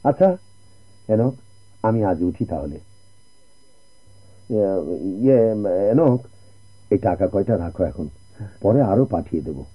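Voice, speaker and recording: An actor playing a kindly, generous shipowner in a radio drama, speaking in a reassuring, patronly way. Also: male voice, on the low side, animated, slow pace, pauses often, slightly rough, full character voice. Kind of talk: Bengali radio drama acting. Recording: muffled old radio drama recording.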